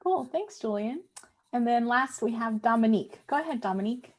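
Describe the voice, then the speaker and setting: A woman's voice: fairly high pitch, brisk. A woman moderating a panel, loose and informal as she introduces speakers. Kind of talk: hosting a panel.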